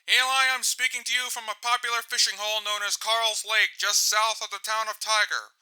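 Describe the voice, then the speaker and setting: A man's voice: high-pitched, level tone, brisk, sharp tone, smooth, poor audio. A man playing an earnest TV field reporter, giving a scripted live report in a formal news delivery.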